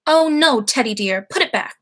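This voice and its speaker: A dainty elderly aunt played by a young woman in a radio play. Her voice is high, her manner gentle, kindly and genteel, with mild politeness. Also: expressive, normal speed.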